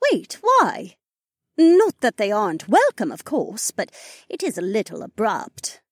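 A woman playing a sweet-voiced, brisk and teasing phoenix wife in an audiobook. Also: mid-range voice, huge pitch swings, no room sound, clean studio sound. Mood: puzzled. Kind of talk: audiobook character dialogue.

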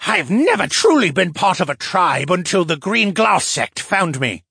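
A man performs a captive merman traitor from a fantasy audiobook. The voice is husky and lifted high, swinging widely in pitch. He sounds bitter, sneering and defiant. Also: sing-song, a little slow, a bit bright, no room sound, studio quality, heavily acted. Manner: sneering, scornful, muttering.

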